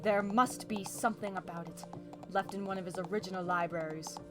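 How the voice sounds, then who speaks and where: A woman's voice: mid-range voice, steady tone, fairly quick, natural voice. Woman playing a regal, solemn pony princess over music. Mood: grave, troubled. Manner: formal, old-fashioned royal diction. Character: regal, worried pony princess of the night, archaic speech. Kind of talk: fan comic dub acting. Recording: music behind the voice.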